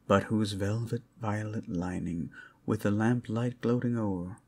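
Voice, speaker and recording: A man reads gothic poetry as a bedtime tale, quietly but theatrically. His voice sits on the low side, husky and heavy with fry. The delivery is measured and brooding. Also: steady tone. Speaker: narrator, reader.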